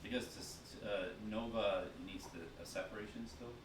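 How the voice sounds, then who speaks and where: A man giving a relaxed marketing talk at a dinner event, full of fillers and restarts. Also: on the low side, fairly quick, very noisy. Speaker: guest speaker on marketing. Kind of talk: casual presentation with Q&A. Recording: echoey room, distant mic, background chatter.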